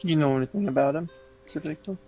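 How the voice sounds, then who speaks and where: A man playing a tabletop roleplaying game, chatting casually in character in a deliberate, understated way. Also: a little high, mellow, faint music behind.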